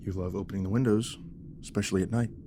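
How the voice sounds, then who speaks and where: A man's voice: sits low, steady tone, fast talker, warm tone, a little hiss or hum, close to an everyday voice. An actor playing an exhausted young father in an audio drama, placating and puzzled, in an understated conversational way. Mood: defensive, puzzled. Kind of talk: naturalistic audio drama acting.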